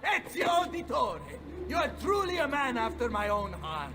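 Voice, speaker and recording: An actor playing a hot-headed, boastful Italian mercenary captain in a video game, theatrical and thickly Italian in accent. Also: male voice, very high voice, very animated, normal speed, exaggerated voice. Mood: jubilant. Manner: theatrical, bombastic, peppered with Italian words. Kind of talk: dramatic video game cutscene acting.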